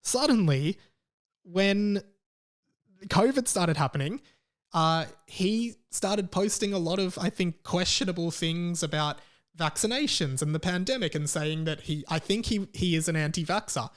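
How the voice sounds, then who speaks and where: A man's voice: high voice, measured pace. An Australian man hosting a podcast, talking casually on his own in a rambling, opinionated, sweary way. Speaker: podcast host. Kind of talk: casual solo podcast chat.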